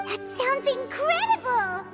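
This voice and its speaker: An extremely high-pitched little companion girl chatters in an anime game style. She is excitable and inquisitive, swinging widely in pitch, with music playing behind her. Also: slow, exaggerated voice. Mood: excited. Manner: exclamatory, inquisitive.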